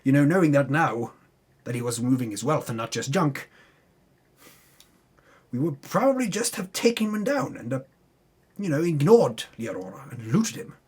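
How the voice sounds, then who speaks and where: A man's voice: medium pitch, sing-song, moderate pace, long pauses, a little mellow, breathy edge. A man giving a theatrical scripted recap of a tabletop game campaign, dropping into sweary, self-mocking asides. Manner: dramatic, sweary, breaks off to mock own slips.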